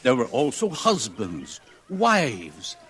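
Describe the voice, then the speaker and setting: An actor playing a lofty, urbane world controller in a 1950s radio drama, lecturing pompously with deliberate, dramatic emphasis. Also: male voice, mid-range voice, wildly swooping pitch, a bit bright, heavily acted.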